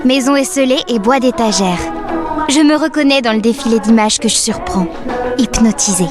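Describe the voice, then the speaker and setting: An actress playing a dreamy, wistful doll who tells her own story in measured, poetic narration for an audio drama. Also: fairly high pitch, natural voice. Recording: music under the voice. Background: music.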